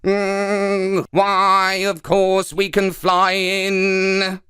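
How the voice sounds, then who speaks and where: Angry little hornets voiced by a male storyteller, buzzing, drawn-out, high-pitched, proud, storybook insects. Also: monotone, sharp tone, smooth, no echo, clean studio sound, heavily acted. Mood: indignant.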